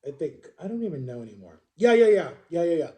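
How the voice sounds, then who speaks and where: A man in his thirties with a slightly high but very dark, relaxed tone. He talks to his viewers as if chatting with friends. His storytelling rambles, circling back to correct himself and repeating words for emphasis. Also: fast talker. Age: 30s. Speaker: vlogger.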